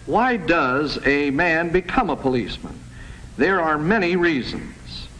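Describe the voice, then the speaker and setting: A man with a somewhat high voice delivers a prepared address as a police chief. He is formal, earnest and measured, over the faint noise of an old 1960s film soundtrack. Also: expressive, fairly bright tone, rough recording.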